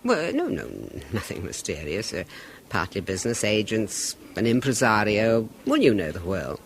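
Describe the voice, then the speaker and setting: Radio drama role: a guarded, hesitant woman, pitch swinging widely. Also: very animated, normal speed, slight background noise. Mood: evasive. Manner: hesitant, faltering speech. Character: poised woman hiding worry, evasive. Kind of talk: 1970s radio drama acting.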